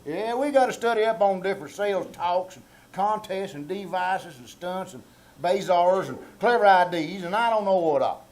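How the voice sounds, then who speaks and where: Man as a high-voiced, self-important country fellow. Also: lively intonation, moderate pace, darkish tone, poor audio. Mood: officious. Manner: pompous, rustic malapropisms, exasperated. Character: self-important, exasperated small-town country organizer. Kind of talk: live old-time radio comedy reenactment. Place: small-town theater stage, live performance. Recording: live theater stage, faint noise.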